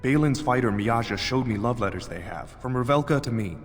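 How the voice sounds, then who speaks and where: An actor playing an earnest, anxious dwarf warrior in a video game, speaking haltingly. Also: male voice, medium pitch, lively intonation, close to an everyday voice. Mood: uneasy confession. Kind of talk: video game character acting. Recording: long reverb, music behind.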